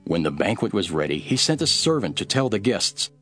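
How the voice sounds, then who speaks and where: Solemn male teacher-prophet, low voice, very varied intonation, earnest preaching, scripture drama. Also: sing-song, fairly bright tone. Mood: earnest teaching. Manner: measured, emphatic, preaching. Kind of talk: dramatized scripture reading over music. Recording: music behind the voice.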